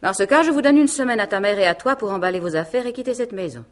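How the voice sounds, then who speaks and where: An actress playing a domineering, cold aunt in a radio mystery play, with an imperious, cutting and sarcastic manner. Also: female voice, medium pitch, animated, quick pace, natural voice. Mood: commanding. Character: domineering, cold aunt who rules the household.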